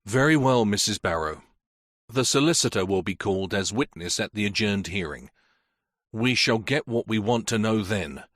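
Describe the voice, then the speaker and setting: A man voicing a police inspector who questions a witness in a measured, firm way. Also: fairly low pitch, animated, a bit bright, no echo, studio quality. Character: stern, patient male police inspector. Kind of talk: audiobook character voice.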